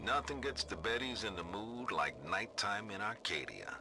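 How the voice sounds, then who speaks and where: Deep, sleazy man-about-town. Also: very animated, moderate pace, background noise. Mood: smug, anticipating. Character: sleazy man-about-town recording his audio diary. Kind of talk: game audio diary acting. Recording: music playing over the voice.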